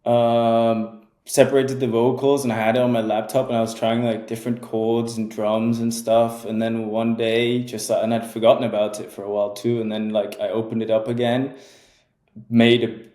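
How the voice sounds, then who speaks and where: A young man in his twenties talks about making music in a relaxed, halting way, full of fillers and self-corrections. His voice is soft and mellow. The room around him is noticeably echoey. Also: mid-range voice. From England. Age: 20s. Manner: hesitant, many fillers and restarts. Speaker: music producer guest. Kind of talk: casual interview chat.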